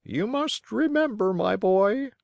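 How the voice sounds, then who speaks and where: A narrator playing a large, kindly pigeon in a children's storybook, calm and helpful with a measured delivery. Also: medium pitch, vocal fry, no room sound, voice pushed up. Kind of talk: children's storybook character reading.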